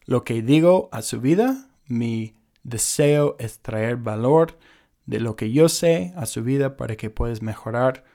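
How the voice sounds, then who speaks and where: A young man from the United States talks through his podcast intro with an American accent, choosing his words with care and slipping now and then. His voice sits at an ordinary middle pitch, and he sounds earnest and steady. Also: unhurried, vocal fry. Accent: American English. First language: English. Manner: earnest, careful non-native phrasing with small slips. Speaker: podcast host. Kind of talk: casual personal podcast intro.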